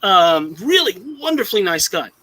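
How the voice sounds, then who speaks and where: A man's voice: high-pitched, sing-song, normal speed, sharp tone. A young man from Southern California chatting casually on a live stream, rambling with many restarts and fillers. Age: young adult. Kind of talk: casual chat.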